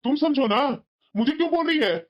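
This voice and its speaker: Woman as a high-pitched, resentful cartoon husband. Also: animated, brisk, dull audio. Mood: bitter, irritated. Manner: complaining. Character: resentful, weary husband and father of four daughters. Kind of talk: Hindi moral-story cartoon dialogue.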